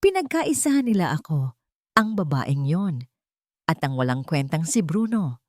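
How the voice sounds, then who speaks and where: A woman voicing an enraged, paranoid rich husband in a dramatic audio story, indignant and shouting. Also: low-pitched, big pitch swings, measured pace, no room sound, studio quality, heavily acted. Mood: furious.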